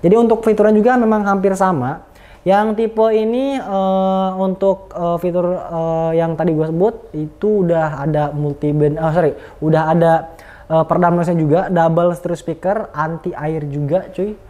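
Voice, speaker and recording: Mellow-voiced man, chatty reviewer. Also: high-pitched, steady tone, moderate pace. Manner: informal and slangy, chatty. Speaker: product reviewer. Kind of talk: casual product review, unscripted.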